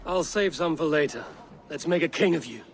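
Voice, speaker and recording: An actor playing a bold, loyal Viking warrior in a video game, with a direct, commanding manner. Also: male voice, fairly high pitch, brisk, natural voice. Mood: friendly, brisk. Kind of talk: dramatic video game acting.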